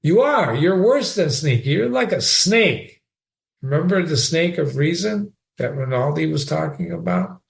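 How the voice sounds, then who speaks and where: A man's voice: lively intonation, unhurried, gravelly. A man voicing a tearful, indignant young woman, a Scottish nurse who scolds and sobs. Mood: scolding. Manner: shrill, scolding, sobbing.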